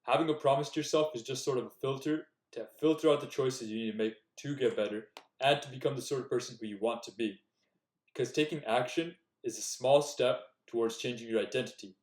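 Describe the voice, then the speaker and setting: A man's voice: medium pitch, brisk. A young man giving earnest, direct self-improvement advice in a casual talk to the camera. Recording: echoey room.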